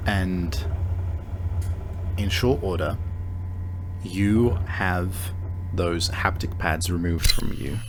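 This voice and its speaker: A man's voice: sits low, extremely slow, pauses often, a little hiss or hum. A man working as game master, improvising scene narration for a roleplay story.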